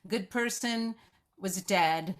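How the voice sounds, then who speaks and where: A woman's voice: medium pitch, slow. Woman moderator with a mid-range voice. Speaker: moderator of the reading. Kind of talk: casual Q&A moderating.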